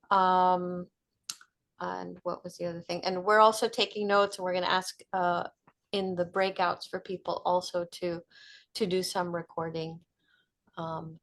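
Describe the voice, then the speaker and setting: A woman moderating a video call meeting, friendly and a little halting. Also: lowish voice. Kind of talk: casual meeting chat.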